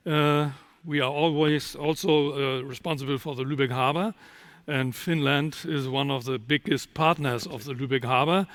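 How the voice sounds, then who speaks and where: A man's voice: fairly high pitch, fairly even intonation, normal speed, fairly bright tone, no room sound. A man from Germany with a German accent, answering as a panelist in a discussion.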